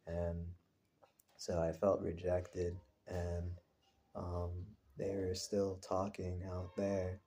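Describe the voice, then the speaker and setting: A man recording a casual personal vlog, talking in a rambling, introspective, halting way. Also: deep voice, monotone, warm tone, a little hiss or hum. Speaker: vlogger.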